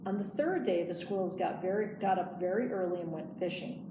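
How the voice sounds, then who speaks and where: A woman's voice: fairly low pitch, fairly quick. A woman reading a children's storybook aloud as a librarian storyteller. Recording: echoey room, clear background noise.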